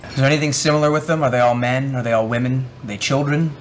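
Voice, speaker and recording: A man's voice: mid-range voice, steady tone, fast, slight background noise. A man playing a tabletop game, speaking casually in character.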